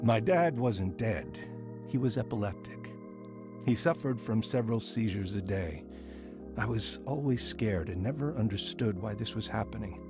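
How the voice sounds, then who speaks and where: An actor playing a man soberly recounting his troubled childhood in measured, scripted drama narration. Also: fairly low pitch, animated, over music, close to an everyday voice. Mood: reflective.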